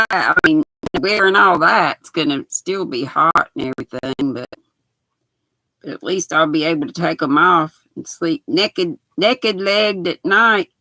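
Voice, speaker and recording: Raspy older woman, slow and gravelly. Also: lowish voice, bright, forceful tone, no echo, rough recording. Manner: chatty, folksy, greets viewers by name. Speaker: knitting livestream host. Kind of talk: casual live chat while sewing.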